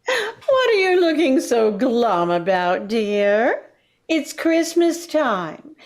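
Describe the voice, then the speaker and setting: A woman's voice: high voice, sing-song, slow pace, full character voice. A woman playing a sugary, needling older mother-in-law in an old-time radio comedy, with a singsong manner. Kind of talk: 1940s radio comedy acting.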